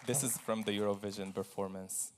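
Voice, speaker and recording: A man's voice: fairly low pitch, fairly even intonation, fairly quick, a loud crowd, heavy background noise. Earnest man telling his own story. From Syria. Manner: earnest, laughs between phrases, repeats for emphasis. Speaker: guest speaker, dancer. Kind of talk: stage conversation, personal story. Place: stage at a live forum.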